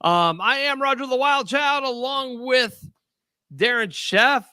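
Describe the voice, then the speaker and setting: Man, talk show host, high voice, bright tone, upbeat, chatty, dry close mic. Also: slow, clean tone, no room sound. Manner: upbeat, chatty, stumbles over guest names. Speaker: podcast host. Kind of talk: casual chat.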